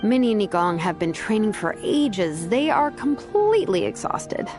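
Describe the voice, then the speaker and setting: A woman's voice: medium pitch, big pitch swings, normal speed, close to an everyday voice. A woman playing a responsible, considerate team leader in an anime game, with a practical, instructing manner. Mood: welcoming, apologetic. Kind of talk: anime game character acting. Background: game music.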